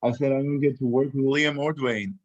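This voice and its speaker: Mid-pitched man in casual meeting chat. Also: steady tone, fairly quick, lo-fi sound. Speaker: actor at a table read.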